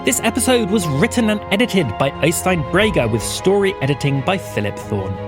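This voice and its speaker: A woman announcer reads scripted podcast credits. Her pitch moves in a lively way, with clear music playing behind her. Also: a little fast.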